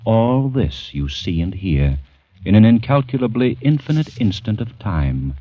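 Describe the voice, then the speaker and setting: An actor playing a grave, deliberate narrator in a vintage radio drama, telling of a condemned man's ordeal with intensity. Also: on the low side, big pitch swings. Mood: grave, suspenseful. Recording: vintage radio, dull, loud music behind.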